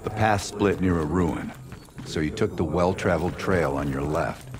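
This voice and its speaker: Man acting a low-voiced, commanding American agency interrogator. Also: normal speed, lightly acted. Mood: controlled, insistent. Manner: commanding, gruff, clipped. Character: stern, impatient male covert-agency interrogator narrating a debrief. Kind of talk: dramatic game voice acting. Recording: loud music bed, gunfire behind.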